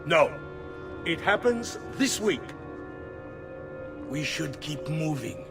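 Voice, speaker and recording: A man's voice: a little high, very animated, slow pace, over music, full character voice. A man playing an urgent, obsequious noble conspirator with an Italian accent in a game. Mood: insistent. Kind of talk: cinematic game acting.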